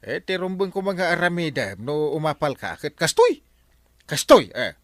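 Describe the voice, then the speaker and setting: An actor playing an excitable, scolding middle-aged village captain with an Ilocano accent in a radio comedy drama. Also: male voice, a little high, moderate pace, no echo, clean studio sound. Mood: lecturing.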